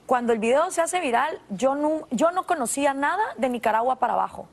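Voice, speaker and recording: A woman in her thirties with a Guatemalan Spanish accent, telling stories in an interview with animated voiced asides. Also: sits high, brisk, creaky. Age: 30s. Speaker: guest. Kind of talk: interview conversation.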